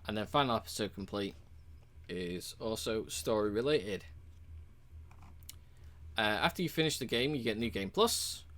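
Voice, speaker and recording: A man casually walking through a game's achievements, relaxed and rambling, with frequent ums and uhs. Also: lowish voice, normal speed, pauses often. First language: English. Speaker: game reviewer.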